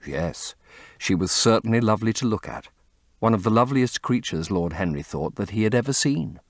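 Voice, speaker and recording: A man reading literary fiction as an audiobook narrator, in an expressive, measured storytelling manner. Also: fairly low pitch, no echo, clean studio sound.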